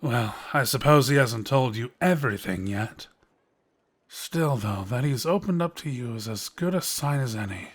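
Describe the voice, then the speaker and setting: An actor playing a sly, knowing quest-giver in a fantasy audio roleplay, measured and teasing as he persuades the listener. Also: mid-range voice, expressive, husky. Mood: knowing, intrigued.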